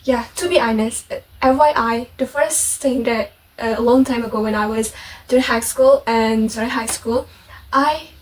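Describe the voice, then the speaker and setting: A woman's voice: on the high side, moderate pace. A young Indonesian woman with an Indonesian accent, chatting casually in a vlog, full of fillers and self-corrections. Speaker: vlogger. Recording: distant mic with faint background noise.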